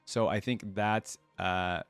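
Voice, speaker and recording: A man's voice: lowish voice, steady tone, takes it slowly, clear, resonant tone, dry recording, faint music behind. A man giving casual, musing commentary as a reaction video host. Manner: informal, musing, conversational.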